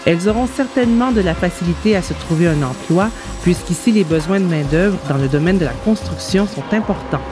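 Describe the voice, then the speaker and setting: A woman's voice: lowish voice, animated, fairly quick, hardly any pauses. A woman reading scripted narration for a video report as its reporter. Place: voice-over recording. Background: music bed.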